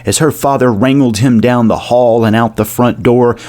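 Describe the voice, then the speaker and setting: A man narrating a horror story in a measured, literary and ominous storytelling manner. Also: mid-range voice, fairly even intonation. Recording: music behind the voice. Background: soft music.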